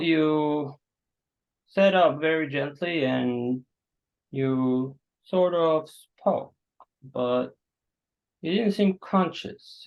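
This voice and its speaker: A man acting a gentle, awkward, solicitous host in a staged play reading, hesitant and coaxing. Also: fairly high pitch, full character voice. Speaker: actor. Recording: dull, muffled sound.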